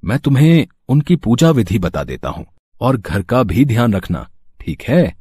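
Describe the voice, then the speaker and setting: Man playing an expressive, devout father, husky-voiced. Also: mid-range voice, unhurried, dry recording, studio quality. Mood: gentle, instructing. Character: devout, affectionate father of a village girl. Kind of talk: Hindi devotional story acting.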